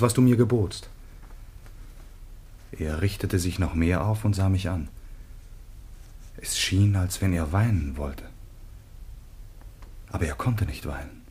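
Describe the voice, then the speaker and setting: An actor playing a dutiful, troubled grown son in a classical radio play, speaking with solemn, measured reverence. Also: male voice, fairly low pitch, slightly rough.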